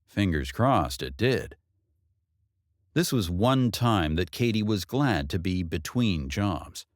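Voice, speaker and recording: A man narrates a work of fiction in a low-pitched voice. His measured reading is expressive and moves freely up and down in pitch. The sound is dry, with very little room on it. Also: no echo. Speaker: audiobook narrator. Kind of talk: scripted narration of a novel.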